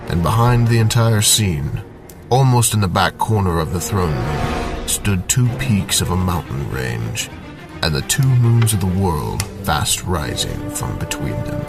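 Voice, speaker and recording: Deep-voiced man narrating over loud music. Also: very animated, unhurried, creaky. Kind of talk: dramatic scripted story narration. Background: cinematic soundtrack music.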